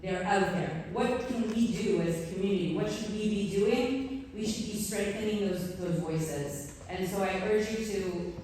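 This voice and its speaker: A woman's voice: mid-range voice, a little slow, a little mellow, a little hiss or hum. A Canadian woman in her forties giving an earnest, conversational speech to a community audience, with filler words. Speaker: award recipient giving a speech. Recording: echoey hall, voice from far off.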